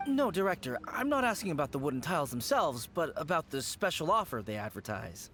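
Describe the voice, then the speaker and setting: A man's voice: fairly high pitch, very animated, brisk, fairly bright tone, a little hiss or hum. A man playing a doubtful, meek male funeral parlor employee in a video game, hesitant and deferential. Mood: confused. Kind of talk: video game character acting.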